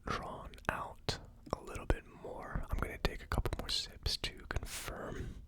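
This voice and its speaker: Slow, whispering ASMR tasting host. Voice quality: breathy, unvoiced whisper. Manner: slow, tentative, musing aloud. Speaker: whiskey tasting video host.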